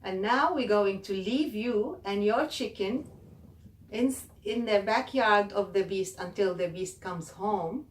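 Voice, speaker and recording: A woman's voice: mid-range voice, takes it slowly, close to an everyday voice. A woman voicing two scheming little girls, cruel tricksters with a sly, mocking sing-song. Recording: roomy, distant mic.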